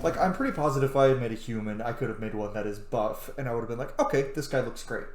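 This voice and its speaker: A man's voice: mid-range voice, quick pace, reverberant, a little hiss or hum. A man giving casual, rambling, opinionated gameplay commentary as a streamer, swearing freely. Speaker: streamer playing a video game.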